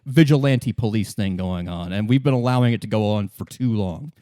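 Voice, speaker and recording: A man's voice: on the low side, fairly quick, warm tone, dry recording, studio quality. A man running a tabletop game, improvising narration and explaining things informally. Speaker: game master.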